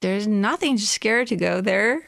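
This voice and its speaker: A woman's voice: mid-range voice, expressive, a little slow, gravelly, fairly bright tone, no echo. A young woman from Iranian Kurdistan, a native Kurdish speaker with a Kurdish accent, answering interview questions hesitantly and searching for words. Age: young adult. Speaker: guest.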